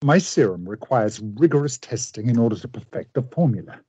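An actor in a radio play playing an obsessive, idealistic scientist with a husky voice and an intense, grandiose, formal manner. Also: male voice, medium pitch, expressive, a little fast, mellow, no echo. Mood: fervent, persuasive. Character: obsessive, idealistic male scientist with a vengeful streak.

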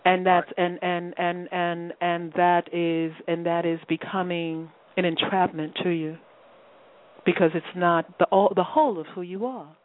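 A woman's voice: fairly low pitch, fairly even intonation, a little slow. A woman hosting a spiritual call-in radio show, who talks in a reflective, teacherly way with restarts. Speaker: host. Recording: phone-line call-in audio.

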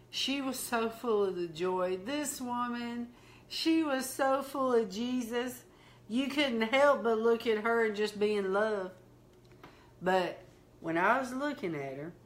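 A middle-aged woman with a Southern drawl tells faith stories at an unhurried, deliberate pace. Her voice sits at an ordinary pitch and carries a warm, preacherly sincerity. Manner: slow, heartfelt preaching delivery. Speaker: minister. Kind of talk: testimony-style storytelling in conversation.

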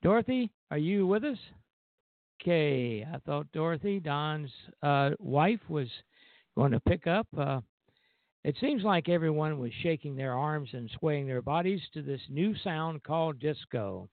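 A man with a fairly high, gravelly voice hosts a music show, reading background notes aloud. His delivery is halting, with small stumbles over the text. The sound is thin and narrow like a phone call, with very little room around it. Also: lively intonation, no echo. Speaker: radio show host.

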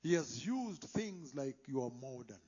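A man preaching a sermon, emphatic, moving between loud declarations and hushed whispers. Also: mid-range voice, sing-song, measured pace, breathy edge. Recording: dull lo-fi sound.

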